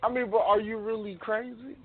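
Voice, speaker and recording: A man's voice: high-pitched, little pitch movement, brisk. A man in casual radio banter, speaking in a joking, slangy, questioning way. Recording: tinny phone connection, mouth close to mic.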